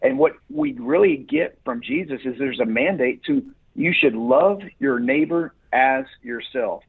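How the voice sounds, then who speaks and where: Man, guest, husky voice, preacherly, restarts sentences, phone line sound. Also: medium pitch, animated, a little slow. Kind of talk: earnest interview answers.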